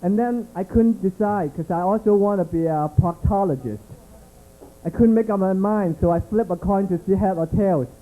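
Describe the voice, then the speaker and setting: Giggly high-voiced man hosting comedy. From Vietnam. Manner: laughs at his own jokes, halting with restarts and throat-clearing. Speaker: comedy show host. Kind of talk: stand-up host banter. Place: small comedy club. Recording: tinny lo-fi live recording.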